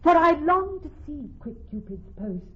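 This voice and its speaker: Noble lovestruck heiress, muffled radio sound. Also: female voice, sits high, sing-song, normal speed, a little hiss or hum. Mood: playful, eager. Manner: formal, theatrical verse delivery. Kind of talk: classical Shakespearean radio acting. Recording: muffled, band-limited old radio recording.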